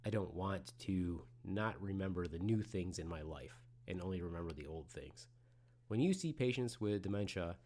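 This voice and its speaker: Profane, candid man in his forties. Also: deep voice. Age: 40s. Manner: frank and profane, swinging from loud outbursts to quiet musing. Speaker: podcast host in the medical field. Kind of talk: casual solo podcast monologue.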